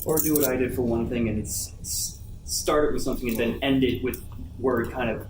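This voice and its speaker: A man's voice: medium pitch, fairly even intonation, a little fast, darkish tone, roomy sound. Distant man speaking casually in noisy room. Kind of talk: casual questions. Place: convention panel room.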